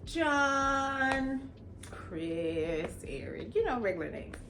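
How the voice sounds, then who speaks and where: Expressive woman telling her own story. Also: high voice, extremely slow, noisy background. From Los Angeles, California. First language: Jamaican Patois. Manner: expressive, casual, acts out quoted dialogue. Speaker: attorney. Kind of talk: personal storytelling.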